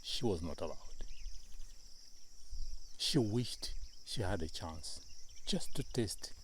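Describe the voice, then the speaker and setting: A middle-aged man from northern Malawi with a Malawian accent, telling his family's story in an interview in short, measured phrases. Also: deep voice, expressive, slight background noise. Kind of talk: slow, deliberate storytelling in an interview.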